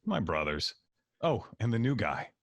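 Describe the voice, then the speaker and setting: A man's voice: lowish voice, big pitch swings, moderate pace, vocal fry, dry recording, full character voice. A man playing an easygoing, no-nonsense security worker in an audio drama, casual and wry. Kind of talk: conversational audio drama acting.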